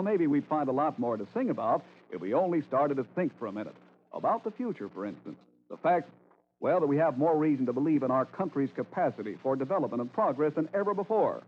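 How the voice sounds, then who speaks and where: Animated American radio announcer, male. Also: a little high. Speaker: announcer and narrator. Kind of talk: scripted 1940s radio announcing. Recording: dull, hissy old radio broadcast.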